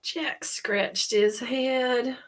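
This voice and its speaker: A woman reading a children's story aloud in a dramatic, deliberate way, with hushed moments. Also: high voice, fairly even intonation. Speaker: storybook reader.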